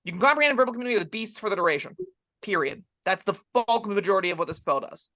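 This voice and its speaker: A man's voice: high voice, animated, very fast, bright, forceful tone. A man co-hosting a casual, opinionated discussion of tabletop game rules, explaining his points emphatically.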